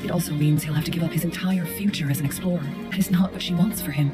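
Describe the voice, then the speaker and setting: A woman playing a composed, perceptive strategist in a video game, speaking in a measured, analytical way. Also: low voice, music in the background, lightly acted. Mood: calm, pensive. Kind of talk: scripted video game dialogue.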